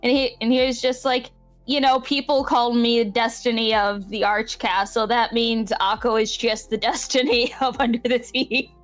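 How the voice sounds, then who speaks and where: A woman's voice: a little high, level tone, moderate pace. A woman chatting casually on a podcast as a co-host, with a giggly way of talking. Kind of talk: casual chat. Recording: background music under voices. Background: background music.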